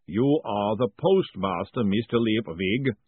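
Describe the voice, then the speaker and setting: A man voicing a huge, calm clay golem with a booming, ponderous, rumbling delivery in an audiobook story. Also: husky, no echo. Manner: slow, ponderous, infuriatingly calm. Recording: muffled phone-like audio.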